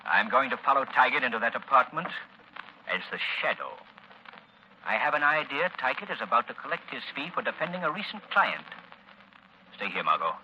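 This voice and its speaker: A suave, confident sleuth from a 1940s radio mystery. He talks fast and forcefully with crisp assurance, on a dull vintage recording. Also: medium pitch, very animated. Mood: determined. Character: brisk, confident male amateur sleuth, suave and determined. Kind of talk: 1940s radio drama acting. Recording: dull, faintly noisy old radio recording.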